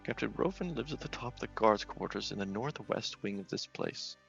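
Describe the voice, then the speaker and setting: A man's voice: fairly low pitch, fairly quick, gravelly, a bit bright, lo-fi sound, faint music behind. A man voicing an aging, bumbling, sceptical knight with a blustering, hesitant manner. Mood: sheepish.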